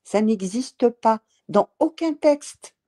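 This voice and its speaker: A woman speaking in a casual panel discussion, emphatic and halting, with many fillers. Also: a little high, expressive, dark tone.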